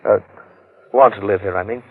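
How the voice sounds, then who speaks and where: A man playing a brooding, obsessed wealthy recluse in an old-time radio drama, speaking in a halting, grave, intense manner. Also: on the low side, fairly even intonation, slightly rough. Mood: obsessed, resolute. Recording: muffled vintage radio drama recording.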